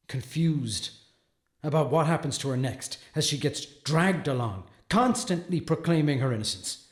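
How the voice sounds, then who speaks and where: An actor playing an earnest, troubled defense attorney in a dramatic monologue, speaking deliberately with brooding pauses. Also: male voice, fairly high pitch. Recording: echoey, roomy sound.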